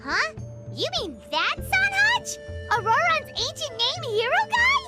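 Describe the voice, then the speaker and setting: Very high childlike fairy girl, excitable, swooping intonation, video game companion, music behind. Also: a little slow, full character voice. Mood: curious. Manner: refers to self in third person, exclaiming. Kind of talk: animated video game voice acting.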